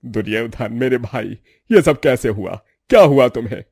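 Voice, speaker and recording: A man's voice: mid-range voice, expressive, gravelly, a little mellow, slightly rough, no room sound, clean studio sound. A man voicing an older, heavy-hearted father in a cartoon drama, speaking in a sombre way. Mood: shocked concern. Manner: slow, sombre. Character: older father, heavy-hearted businessman missing his old friend.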